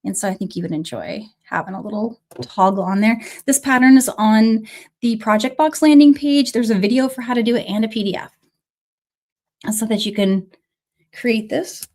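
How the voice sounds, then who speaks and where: A woman in her thirties or forties hosts a relaxed livestream beading class, her slightly high voice touched by a cold and the odd cough. She talks in a chatty, friendly way, thanking viewers by name as she works. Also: moderate pace. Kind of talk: casual livestream tutorial.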